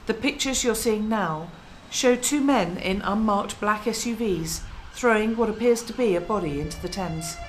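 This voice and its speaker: A woman's voice: medium pitch, moderate pace, few pauses, a little hiss or hum, close to an everyday voice, rough recording. A woman playing a determined, earnest news reporter in a radio drama, with emphatic delivery. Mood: formal reporting.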